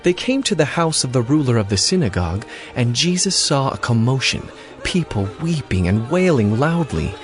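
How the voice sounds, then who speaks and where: A man's voice: medium pitch, sing-song, normal speed, faint music behind. A man reading scripted narration for a dramatized audio Bible.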